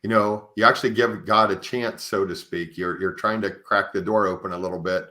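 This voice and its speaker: Male podcast host, very low voice with a forceful bright edge, summing up deliberately for the guest. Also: steady tone. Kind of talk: interview, recapping and prompting.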